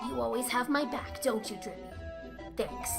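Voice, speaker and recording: A woman's voice: a little high, moderate pace, creaky, music in the background. A woman voicing a hot-headed young female tenrec fighter in a comic dub, cocky, snappy and sarcastic. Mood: subdued, wary.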